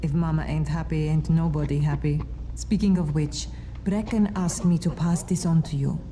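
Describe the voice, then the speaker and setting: A woman's voice: low-pitched, steady tone, normal speed, mellow, natural voice. A woman playing an easygoing, dry-humored survivor ally in a video game. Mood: friendly, dry. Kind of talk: video game cutscene acting. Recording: long hall reverb, faint noise.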